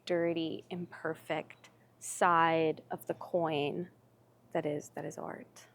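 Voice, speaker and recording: Slow, lowish woman, reflective. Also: flat delivery, creaky. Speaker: interviewee.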